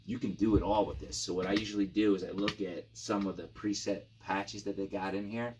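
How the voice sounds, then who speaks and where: Low-voiced man casually demoing gear. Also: steady tone, fairly quick, background noise. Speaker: gear reviewer and guitarist. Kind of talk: casual product demo.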